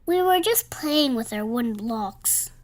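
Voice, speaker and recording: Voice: medium pitch, expressive, a little slow, natural voice. A performer playing an innocent, blunt little boy in an audio melodrama, plaintive and simple in delivery. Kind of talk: melodramatic audio drama acting.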